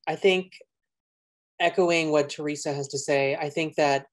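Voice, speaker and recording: A man on a panel who speaks thoughtfully and deliberately. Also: on the high side, fairly even intonation. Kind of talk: panel discussion.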